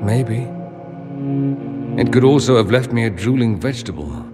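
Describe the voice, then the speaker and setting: A man's voice: medium pitch, animated, moderate pace, natural voice. An actor playing a proud, wounded nobleman mage in a video game, articulate and sarcastic in a theatrical way. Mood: quiet hurt. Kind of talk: dramatic video game acting. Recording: long reverb, music behind.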